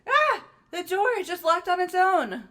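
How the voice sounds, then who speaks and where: A woman voicing an energetic, naive high school girl in a video game fan dub, bubbly and full of exclamations. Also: measured pace, full character voice. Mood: alarmed, indignant.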